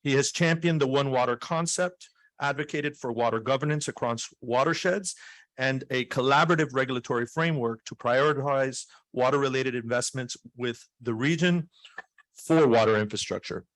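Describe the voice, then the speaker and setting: A man's voice: medium pitch, normal speed, a bit bright, no echo. A man reading a formal introduction of a speaker at a conference, with occasional filler sounds. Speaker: event host introducing a speaker. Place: conference hall.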